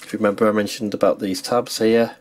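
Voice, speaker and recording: Low-voiced man giving step-by-step instructions. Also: fairly even intonation, fast talker, slight background noise. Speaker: repair tutorial host. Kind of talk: hands-on how-to narration.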